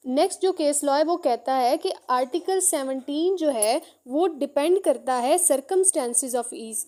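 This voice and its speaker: A Pakistani woman with a clearly high, soft-edged voice teaches law. She reads out formal passages, then explains them step by step, going back over the key points. Her tone is on the mellow side. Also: slow pace. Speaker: law lecturer. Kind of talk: lecture, reading out and explaining statutes.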